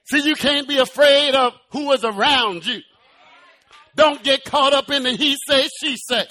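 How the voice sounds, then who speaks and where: High-pitched preacher man shouting a sermon. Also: unhurried. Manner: shouted, rhythmic preaching with sung phrases; repetitive call-outs to the congregation. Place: church.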